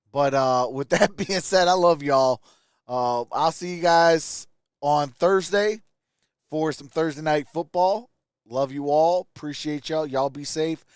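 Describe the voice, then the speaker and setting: A man hosting a sports livestream, giving casual post-game commentary to viewers in a halting, conversational way. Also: high-pitched, dry recording, studio quality.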